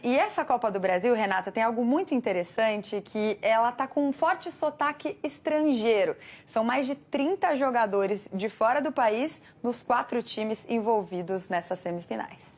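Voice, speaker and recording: Woman sports presenter in a studio. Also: fairly high pitch. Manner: conversational, hands over to co-anchor.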